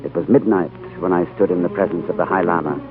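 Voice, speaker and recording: An actor playing an earnest, thoughtful diplomat who also narrates, in a 1930s radio drama on an old broadcast recording. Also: male voice, deep voice, steady tone, fast, lightly acted. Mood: narrating. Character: thoughtful male British diplomat narrating his tale. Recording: old phone-like radio broadcast, faint music.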